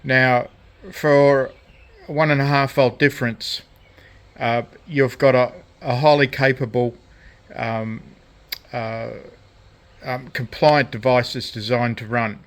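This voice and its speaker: A man talks through a hobby project in a casual, unscripted way. His delivery is halting and hesitant, with frequent pauses, at a medium pitch. He sounds like an amateur presenter showing how something is done. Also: level tone, clean tone. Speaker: hobbyist presenter of a DIY electronics video. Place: indoors at a cluttered radio workbench.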